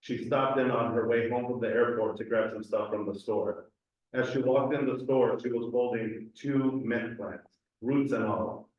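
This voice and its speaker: A young adult man from Cleveland reading a personal essay aloud at a community gathering, earnest and measured. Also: medium pitch, steady tone. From Cleveland, USA. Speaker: community speaker. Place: community event hall. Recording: echoey hall, distant and dull mic.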